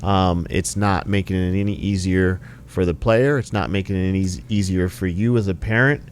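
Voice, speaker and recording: Earnest low-voiced man, slow and agreeable. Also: steady tone, slight background noise. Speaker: podcast co-host, basketball trainer. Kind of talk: casual podcast chat.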